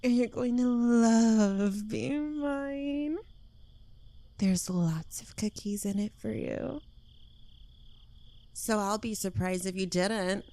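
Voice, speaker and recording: Woman acting a slow, smug yandere witch girlfriend. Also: mid-range voice, warm tone. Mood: possessive, gloating. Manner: teasing, smug, drawling, dropping into whispers. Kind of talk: intimate ASMR roleplay addressed to the listener.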